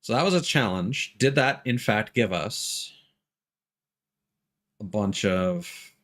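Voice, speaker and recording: A man's voice: fairly low pitch, expressive, slow, fairly bright tone. A man streaming a video game, commenting casually and playfully, thinking aloud and reading out prompts. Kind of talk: casual live gameplay commentary.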